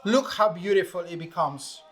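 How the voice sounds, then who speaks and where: A man's voice: high-pitched, moderate pace, soft background music. A man from Venezuela with a Venezuelan Spanish accent, a chef explaining a cooking recipe step by step in English. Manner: explains step by step, many fillers. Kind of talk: casual cooking demonstration.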